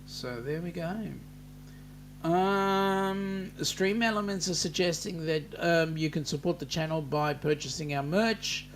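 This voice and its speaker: Slow-talking Australian man hosting a chat. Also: on the high side, steady tone, a little hiss or hum. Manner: unhurried, chatty, many ums, reads comments aloud. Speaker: live-stream host. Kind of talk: casual live-stream chat reading viewer comments.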